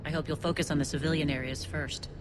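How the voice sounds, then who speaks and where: An actress playing a wary, principled alien fighter in a video game, speaking in an earnest, guarded way. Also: female voice, low voice, steady tone, fast talker, background noise, close to an everyday voice.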